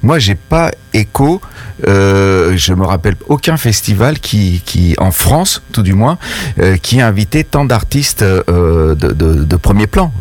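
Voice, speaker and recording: Man, lowish pitch, radio interviewer, conversational questioning, unscripted. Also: sing-song, a little slow, a little mellow, faint music behind.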